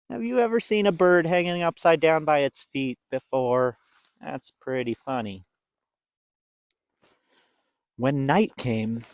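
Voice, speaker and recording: Children's storytime host: slow, expressive delivery, simple and explanatory, in a close dry recording. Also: mid-range voice, no echo. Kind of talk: animated storybook reading and chat to young children.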